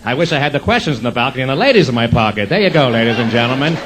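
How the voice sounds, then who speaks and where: Male actor as a 1940s radio comic, animated swooping delivery, brash huckster, deadpan wisecracks, wry asides. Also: mid-range voice, racing, natural voice. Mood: wisecracking, wry. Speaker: stage actor in a comedy tribute show. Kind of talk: 1940s radio comedy acting before a live audience.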